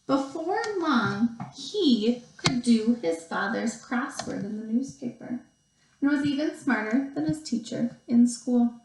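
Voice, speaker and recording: A woman teacher reading a picture book aloud to young children, expressively, with deliberate pauses and stretched emphasis. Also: on the high side, animated, darkish tone, slight background noise. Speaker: second grade teacher. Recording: roomy, echoey sound.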